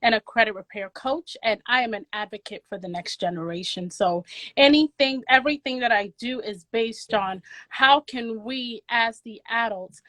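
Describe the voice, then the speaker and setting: Woman from Ghana, 30s, guest talk, earnest and repetitive emphasis, fairly high voice, dry close sound. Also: normal speed, fairly bright tone, dry recording. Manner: earnest, repeats key words for emphasis. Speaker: guest, mortgage agent and credit coach. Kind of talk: casual interview talk.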